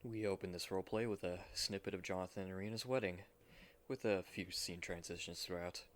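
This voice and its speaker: A man's voice: deep voice, steady tone, fairly quick, a little hiss or hum. A man hosting, giving a scripted introduction to a roleplay video. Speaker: channel host and roleplay voice actor. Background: music.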